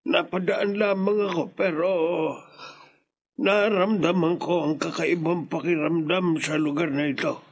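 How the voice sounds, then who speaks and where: Radio horror: an old mystic stranger, husky, slow, high-pitched, grave warnings. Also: male voice, steady tone. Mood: ominous. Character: mysterious old male stranger, solemn seer. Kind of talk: radio drama acting.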